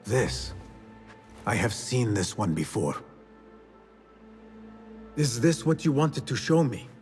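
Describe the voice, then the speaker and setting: Solemn young assassin, measured, terse, guarded, video game drama, music underneath. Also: male voice, medium pitch, sing-song, a little mellow. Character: grave young male assassin investigator, guarded and dutiful.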